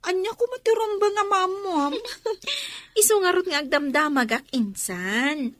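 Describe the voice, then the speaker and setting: A woman's voice: high voice, moderate pace, a bit bright, dry recording. A woman with a Filipino accent acting a teasing, outspoken young student worker in a radio drama. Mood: teasing, animated.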